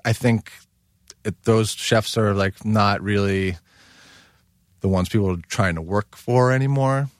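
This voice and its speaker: A man's voice: on the low side, steady tone, unhurried, long pauses, no room sound, clean studio sound. A man who works as a chef, talking in a casual chat, rambling and full of fillers like "like" and "you know".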